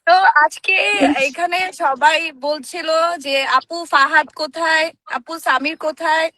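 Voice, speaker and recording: Casting: Bangladeshi actress guest, high bright voice, appreciative and gushing, chatting informally. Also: female voice, fairly even intonation, measured pace. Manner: effusive, appreciative. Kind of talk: casual heartfelt tribute on a group chat.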